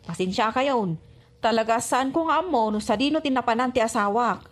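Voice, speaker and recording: Actress as nagging rural mother for radio comedy, high-pitched, long-suffering. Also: a little fast, lightly acted. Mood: weary, chiding. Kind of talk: Ilocano radio comedy drama acting.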